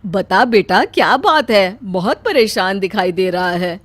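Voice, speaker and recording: A woman voicing a strict, scolding middle-aged mother-in-law in a cartoon story, speaking loudly and bossily. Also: medium pitch, lively intonation, measured pace, dry recording. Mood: worried. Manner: loud, scolding, bossy.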